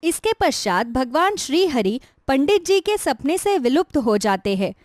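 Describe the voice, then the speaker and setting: Female storyteller for animated moral tales, high lively voice, scripted devotional narration. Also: moderate pace, dry recording, studio quality.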